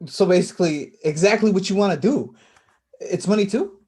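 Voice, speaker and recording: A woman's voice: mid-range voice, moderate pace, warm tone, slightly rough, natural voice. A woman playing an insistent, needling younger brother of college-graduate age in a naturalistic staged play reading, pressing and direct. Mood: curious, pressing.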